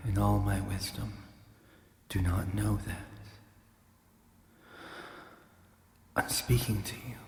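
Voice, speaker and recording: A man playing a solemn all-knowing god who narrates a hero's quest in an audio drama, slow and deliberate, slipping into breathy near-whispers. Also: lowish voice, a little hiss or hum, full character voice. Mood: gentle, hushed. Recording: echoing reverb under loud music. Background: loud atmospheric new-age score.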